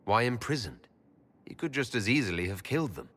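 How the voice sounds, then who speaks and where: An actor playing a composed leader of a fantasy inquisition in a video game, speaking in a measured, inquiring way. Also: male voice, medium pitch, sing-song, a bit bright. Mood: calm, questioning. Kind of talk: dramatic fantasy game dialogue.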